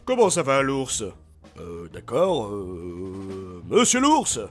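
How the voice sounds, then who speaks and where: A man's voice: lowish voice, wildly swooping pitch, slow pace, music in the background, heavily acted. A man voicing a jolly, teasing, chummy fellow who chuckles as he talks.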